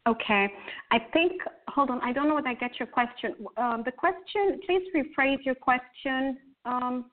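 A woman from Kenya hosts an online conversation, her voice sitting a touch high. She talks haltingly, restarting and filling gaps. The audio is thin and phone-like. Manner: hesitant, many fillers and restarts. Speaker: host. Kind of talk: casual interview over a call. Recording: tinny phone-like call audio.

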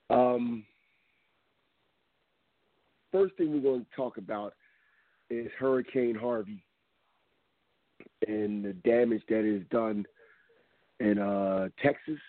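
A hoarse man hosting a radio talk show, talking casually and rambling with many ums. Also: lowish voice, no echo. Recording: tinny phone line.

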